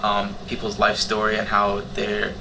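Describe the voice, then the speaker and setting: A man's voice: fairly low pitch, monotone, measured pace, creaky, noisy background. A nineteen-year-old man, talking casually and a little hesitantly on a panel. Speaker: youth volunteer organizer.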